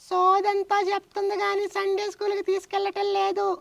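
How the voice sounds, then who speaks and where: Woman doing a cheeky, creaky, very high-pitched little boy puppet. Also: monotone, a little fast, exaggerated voice. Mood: argumentative. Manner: whiny, argumentative, boastful. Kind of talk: children's puppet show dialogue.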